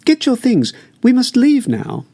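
A man's voice: very high voice, huge pitch swings, unhurried, dark tone, voice pushed up, heavily acted. A man reading an audiobook, voicing a desperate mother who urgently pleads to save her son.

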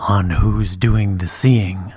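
A man voicing a slow, deep-spoken wise dragon. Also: fairly even intonation, darkish tone, slightly rough. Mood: sage. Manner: slow, measured, solemn. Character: ancient dragon posing as knight, calm and wise.

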